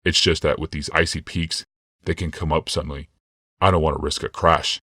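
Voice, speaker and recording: A man voicing a stern, reserved visionary ruler in an audiobook, speaking in a measured, solemn way. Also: a bass voice, little pitch movement, bright, forceful tone, husky, dry recording, clean studio sound, exaggerated voice. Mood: guarded, stern.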